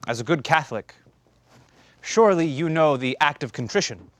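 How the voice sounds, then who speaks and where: An actor on a theater stage playing a stern, sardonic man who taunts a condemned killer in measured, pointed phrases. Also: on the high side, expressive, a bit bright. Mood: mocking. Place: theater stage before an audience.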